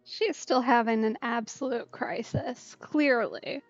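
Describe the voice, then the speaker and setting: Woman, game streamer, fairly high voice, wry casual commentary, slightly dull recording. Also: a little slow, dry recording, soft background music. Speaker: gaming streamer. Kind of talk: casual let's play commentary.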